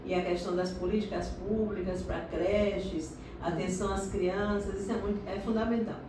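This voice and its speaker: A middle-aged woman from Salvador, telling her life story in a slow, reflective interview. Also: mid-range voice, steady tone, far from the mic. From Salvador, Bahia, Brazil. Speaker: interviewee, doctorate holder. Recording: echoey room, recorded from afar, noisy.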